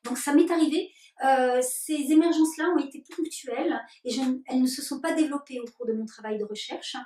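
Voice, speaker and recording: A woman leading spiritual workshops, answering interview questions slowly and deliberately with many hesitations. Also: high-pitched, a little mellow. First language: French. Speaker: workshop leader. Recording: roomy and distant.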